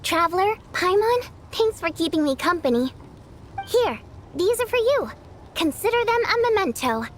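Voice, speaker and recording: High-voiced, playful, bossy young performer. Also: female voice, sing-song, normal speed, slight background noise. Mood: warm. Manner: theatrical, teasing, commanding. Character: spirited young female stage performer, playful, bossy and affectionate. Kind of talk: video game voice acting.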